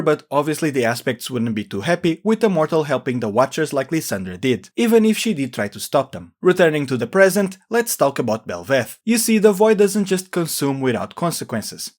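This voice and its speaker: A man hosting a video game lore explainer, reading scripted narration. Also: fairly high pitch.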